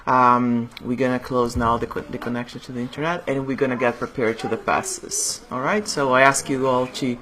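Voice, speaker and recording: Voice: mid-range voice, steady tone, moderate pace, hardly any pauses, a bit bright. Friendly event host closing a session.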